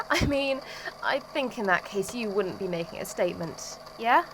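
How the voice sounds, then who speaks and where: A woman's voice: a little high, big pitch swings, slow pace, noisy background, exaggerated voice. Woman as a dry, skeptical sidekick friend. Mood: dryly amused. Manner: dry, blunt, casual. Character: sardonic, skeptical, exasperated female friend. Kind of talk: amateur audio drama dialogue.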